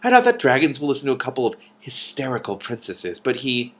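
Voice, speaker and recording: A man's voice: mid-range voice, huge pitch swings, fast, a bit bright. A man reading a storybook, voicing a smug, pompous, theatrical wizard villain who turns petulant when thwarted. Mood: smug, gloating. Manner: superior, pompous.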